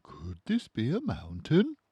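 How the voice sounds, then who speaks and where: A man's voice: on the low side, wildly swooping pitch, takes it slowly, warm tone, slightly rough, no echo, pitched above the performer's own voice, heavily acted. A man voicing a small, innocent toy bear full of wonder, speaking earnestly as a storybook character.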